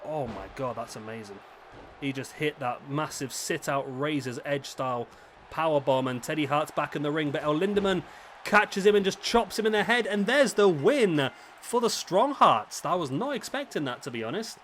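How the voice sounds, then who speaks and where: Slightly raspy man commentating a game. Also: a little high, normal speed, a bit bright, a little hiss or hum. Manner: chatty, thinks aloud and corrects himself. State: rough, slightly raspy throat. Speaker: host commentating a simulated wrestling league. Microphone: headset microphone.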